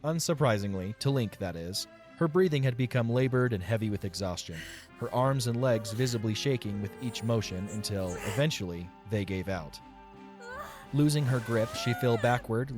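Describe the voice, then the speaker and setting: Lowish-voiced man narrating a story. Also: moderate pace, music in the background.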